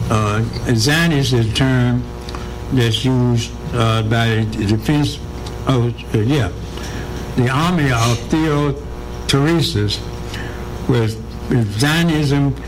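A man talking as a radio guest, his speech halting and full of fillers and repeated words. His pitch sits mid-range and the recording carries clear background noise. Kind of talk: radio interview.

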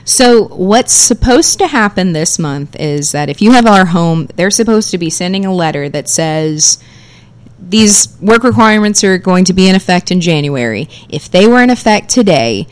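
A woman with a lowish, projecting theater voice talks fast and freely as a guest on a radio talk show. She explains policy in a chatty, easygoing way, full of ums and you-knows. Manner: chatty, fluent, explanatory with many fillers.

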